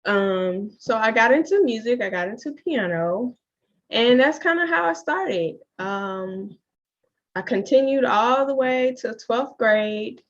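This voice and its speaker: A woman's voice: fairly high pitch, animated, slow pace. Woman, school founder, casual live talk, upbeat, chatty, video call, slightly dull sound. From Jackson, Mississippi, USA. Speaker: school owner and founder hosting an open house.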